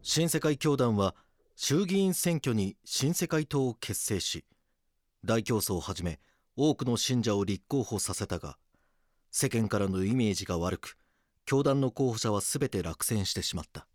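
An actor playing a grave, weary police detective, narrating a case in the first person with serious, measured delivery. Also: male voice, on the low side, lively intonation, no echo, clean studio sound, natural voice.

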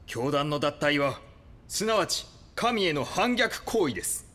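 An actor playing a cold, fanatical cult enforcer, stern and preachy with polite menace, in an audio drama. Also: male voice, fairly high pitch, sing-song, unhurried, sharp tone, heavily acted. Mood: cold, righteous. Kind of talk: Japanese audio drama acting.

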